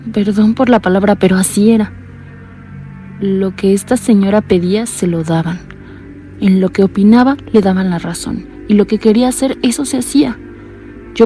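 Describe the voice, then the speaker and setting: An actress playing a blind young woman calling in to tell a frightening story, talking in a low, earnest, uneasy way. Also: warm tone, natural voice. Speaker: caller. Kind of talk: radio drama acting.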